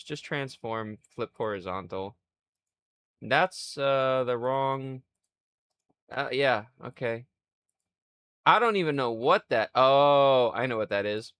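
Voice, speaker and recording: A man in his twenties streaming live, rambling in a crude, self-mocking way and thinking out loud. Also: medium pitch, clean tone, dry recording. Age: 20s. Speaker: livestreamer. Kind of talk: casual livestream chat.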